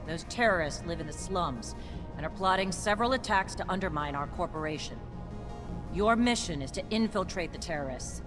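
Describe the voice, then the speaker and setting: A woman's voice: mid-range voice, lightly acted. A woman playing a stern, ruthless military captain in a video game, giving clipped, authoritative orders. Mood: brisk, commanding. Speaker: army captain briefing her unit. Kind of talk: video game dramatic acting.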